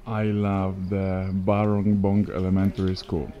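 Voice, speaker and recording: A man's voice: low voice, monotone, unhurried, muffled recording. A man from Poland, chatting as a travel vlogger while walking through a remote village. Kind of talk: casual walking-tour commentary. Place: outdoors in a hot remote village.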